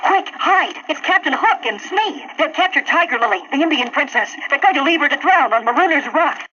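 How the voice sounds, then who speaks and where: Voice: sits high, lively intonation, fairly quick, sharp tone, highs cut off. A performer playing a brave, cocky young boy, eager and exclamatory, in a theatrical children's story recording. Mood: urgent.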